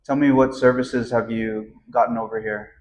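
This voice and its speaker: A man's voice: medium pitch, fairly even intonation, moderate pace, darkish tone. A man working as an interviewer, asking plain questions, recorded with slightly muffled sound.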